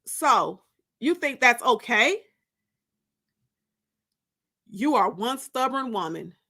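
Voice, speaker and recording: Woman voicing a smooth, teasing college guy, slow delivery. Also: fairly high pitch, animated, bright, forceful tone, heavily acted. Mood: teasing, accusing. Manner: coaxing, flirtatious. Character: smooth, teasing college man sweet-talking his ex. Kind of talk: dramatic audiobook reading.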